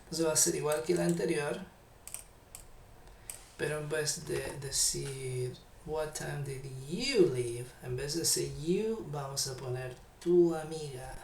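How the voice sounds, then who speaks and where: Man, Chilean Spanish accent, English teacher, patient and slow, gravelly voice, echoey distant mic. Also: fairly high pitch, a little mellow, slight background noise. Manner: patient, repeats English examples slowly. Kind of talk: live online English lesson.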